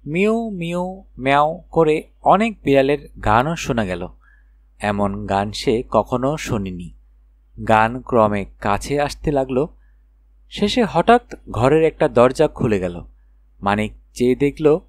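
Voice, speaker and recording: A man narrating a children's fairy tale in an expressive storytelling manner with dramatic phrasing. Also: medium pitch, no room sound. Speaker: audiobook narrator.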